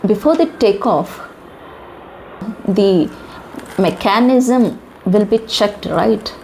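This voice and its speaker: A woman with an Indian accent delivers news commentary at a slow, deliberate pace. She speaks in short, emphatic phrases and leans on rhetorical questions. There is some background noise in the recording. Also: medium pitch, vocal fry, warm tone, poor audio. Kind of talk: informal news commentary to viewers.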